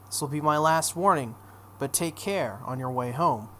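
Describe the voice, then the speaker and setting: A man's voice: on the high side, moderate pace, pushed and bright. Man voicing an ominous, solemn priest. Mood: grave warning. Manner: solemn, oracular. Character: ominous male priest delivering grave pronouncements. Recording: noticeable background noise.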